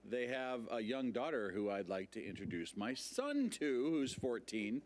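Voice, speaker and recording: A man hosting a live TV music show, chatting casually and pitching a membership drive with jokes. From Nebraska. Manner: lively, jokey, quick to laugh. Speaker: TV show host.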